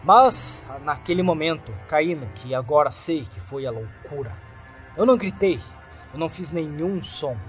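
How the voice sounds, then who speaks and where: A man with a Brazilian accent reading a scripted first-person horror story as its narrator. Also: fairly high pitch.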